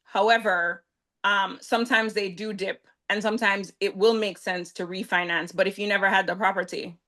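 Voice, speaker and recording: A woman's voice: mid-range voice, steady tone, moderate pace, fairly bright tone. A woman realtor on a webinar panel, explaining in an easy, conversational way over a video call.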